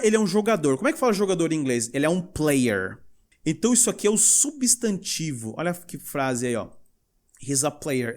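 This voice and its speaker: A young male English teacher with a Brazilian Portuguese accent, explaining grammar in a lively way and reading example sentences slowly. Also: fairly high pitch. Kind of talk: recorded language lesson.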